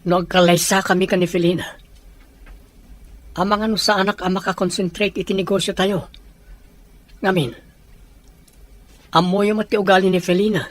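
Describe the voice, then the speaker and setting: A man's voice: sits high, flat delivery, moderate pace, long pauses, no echo, lightly acted. A young man playing a firm grandson and company boss in an audio drama. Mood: reasoning. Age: young adult. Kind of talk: Ilocano audio drama dialogue.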